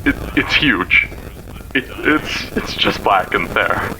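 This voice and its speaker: An actor playing a terrified, tearful man, stammering and halting as he pleads in a payphone voicemail for an audio horror drama. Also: lowish voice, animated. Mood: tearful dread. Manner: halting, stammering, repeating himself. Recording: noisy payphone voicemail with background ambience.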